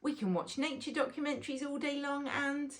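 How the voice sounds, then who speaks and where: A woman playing an excitable, anxious nine-year-old boy in a children's storybook. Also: high-pitched, fairly quick, pitched above the performer's own voice, lightly acted. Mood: amazed, excited.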